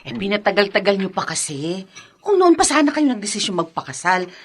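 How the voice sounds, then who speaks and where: An actress playing a chatty, teasing married friend who blurts things out in a Tagalog radio drama. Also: female voice, mid-range voice, very animated, brisk, a little hiss or hum.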